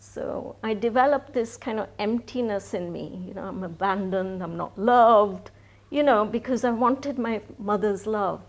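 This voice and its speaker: Woman, 60s, interview guest, chatty storyteller, mid-pitched voice. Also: unhurried. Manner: chatty, laughs often, frequent restarts. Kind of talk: live stage interview, personal storytelling.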